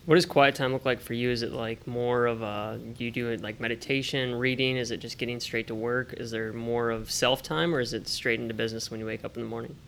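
Fast, flat-voiced male interviewer. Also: mid-range voice, vocal fry, a little hiss or hum. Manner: quick, rambling multi-part questions. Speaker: interview host. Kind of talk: podcast interview questions.

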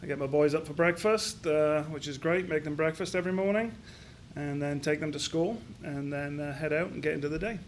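A man in his fifties from Yorkshire, answering interview questions reflectively with frequent pauses, fillers and restarts. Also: fairly high pitch, slight background noise. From Yorkshire, England. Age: 50s. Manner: hesitant, many restarts and fillers. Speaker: business founder guest.

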